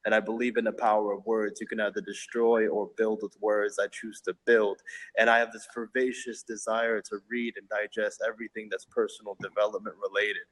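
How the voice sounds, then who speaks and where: Energetic man giving a motivational talk. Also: on the low side, low-quality recording. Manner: energetic, emphatic, with some halting restarts. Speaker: guest, inspirational hip hop artist. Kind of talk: motivational talk on a live video chat.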